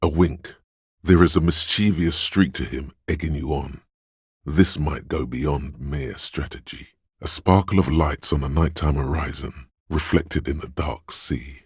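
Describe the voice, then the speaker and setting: A middle-aged man voicing a disembodied male inner sense of sight in a video game, calm and watchful, describing things in a measured, matter-of-fact way. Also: very deep voice, husky, no echo, clean studio sound, heavily acted. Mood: observant. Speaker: voice actor. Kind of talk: scripted video game voice line.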